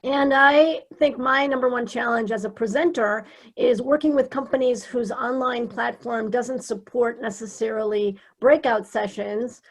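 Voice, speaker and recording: A woman's voice: on the high side, rough recording. A woman presenter asking a poised, articulate question on a video call. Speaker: meeting presenter.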